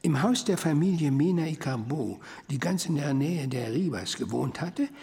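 Old doctor in a radio mystery, gravelly, swoopy delivery, sly and patient, big reverb. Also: male voice, fairly high pitch, animated, a little mellow. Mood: patient, savouring. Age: older. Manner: unhurried, teasing storytelling. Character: elderly male doctor, sly, patient, savouring his tale. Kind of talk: radio crime drama acting. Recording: long hollow hall reverb.

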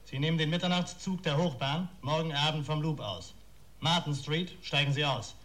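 An actor playing a menacing, bullying blackmailer in a German radio crime drama, barking curt orders and insults. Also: male voice, a little high, normal speed, a bit bright, natural voice.

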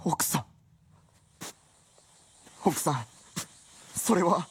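A man's voice: a little high, animated, extremely slow, breathy edge, full character voice. A man playing an earnest, straitlaced detective novelist in a period radio drama, polite but easily flustered and incredulous. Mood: incredulous, agitated.

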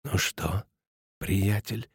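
Male narrator as menacing angry man, drawn-out hissed threats, growling through teeth, dry audiobook sound. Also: lowish voice, breathy edge, dry recording, voice pushed down, heavily acted. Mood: muffled menace. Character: furious, menacing brutish man demanding his money.

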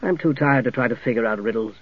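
A man voicing an exhausted half-elven commander who speaks quietly and wearily. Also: mid-range voice, fast talker, breathy edge, no room sound, voice pushed down, close to an everyday voice. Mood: weary. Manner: quiet, tired. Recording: dull, dry recording.

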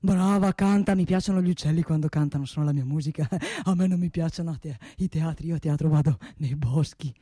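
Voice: mid-range voice, warm tone, dry recording, close to an everyday voice. An actor performs a boastful middle-aged father, a rich hunter in a radio comedy. The delivery is coaxing and theatrical, circling back over the same words with flares of irritation. The sound is dry and intimate. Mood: coaxing, proud. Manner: halting, repetitive, cajoling, bursts of irritation. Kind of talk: theatrical monologue-like stage comedy acting.

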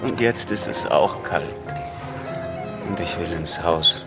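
An actor in a German radio play voicing a weary, unsentimental invalid baron, dry, curt and resigned. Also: male voice, deep voice, takes it slowly, over loud music. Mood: dry, tired.

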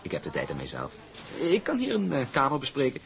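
A man playing a smug young shipping heir in a radio drama, speaking with formal, oily politeness and measured hesitations. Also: medium pitch, huge pitch swings, creaky, fairly bright tone, full character voice. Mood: coolly persuasive. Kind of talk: Dutch radio drama acting.

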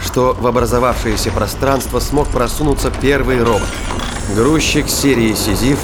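A man reading scripted narration of a science fiction thriller as a dramatic, deliberate storyteller. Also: mid-range voice, steady tone, noisy background. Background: music and battle sound effects.

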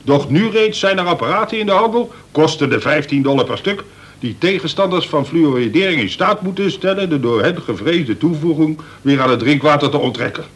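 An actor playing a worried, blustering prison governor, a cautious and officious colonel, in a Dutch radio play. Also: male voice, fairly high pitch, lively intonation, fairly quick, husky. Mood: exasperated. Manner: exasperated, swearing, officious.

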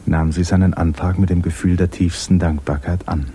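A man narrating a literary radio drama in a measured, composed storytelling manner. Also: low voice, fairly even intonation, mellow, slight background noise, lightly acted. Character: calm omniscient male narrator of a literary tale.

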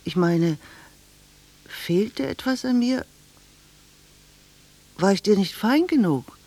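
Slow-spoken, wistful middle-aged village woman. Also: mid-range voice, lively intonation, dark tone. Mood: reproachful, sad. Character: wistful middle-aged village cashier, blunt, longing for a lost love. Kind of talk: German radio drama acting.

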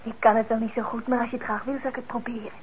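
Casting: actress for chatty teenage sister, high girlish voice, teasing yet caring, natural radio play style, muffled sound. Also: little pitch movement, very fast, close to an everyday voice. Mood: caring, coaxing. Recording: muffled old radio drama, faint noise.

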